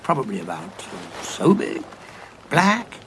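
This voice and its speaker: An actor playing a weary, war-hardened old male Time Lord in an audio drama, gruff and urgent, pleading when he shouts, with battle noise behind. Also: fairly high pitch, wildly swooping pitch, slow, full character voice, low-quality recording. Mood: calm.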